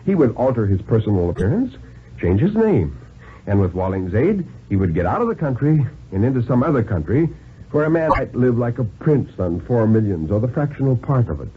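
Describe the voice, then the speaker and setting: A man gives a theatrical, deliberate dramatic reading of a story in 1950s radio style, with expressive pauses. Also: medium pitch, narrow, tinny audio, a little hiss or hum. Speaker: narrator reading a thriller story. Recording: band-limited vintage 1950s radio broadcast.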